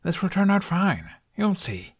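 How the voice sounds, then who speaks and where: A man's voice: high voice, sing-song, a little slow, husky, voice pushed up, full character voice. A man voicing a nervous, well-meaning boy in an audiobook, hesitant and apologetic as he stammers along. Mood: reassuring. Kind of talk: audiobook character voice.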